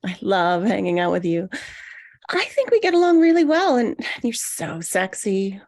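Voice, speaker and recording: A woman's voice: medium pitch, sing-song, moderate pace, a little mellow, no room sound, studio quality. A woman playing an eager, insecure woman in her mid-thirties with a hesitant manner, in a screenplay table read. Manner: hesitant, overeager.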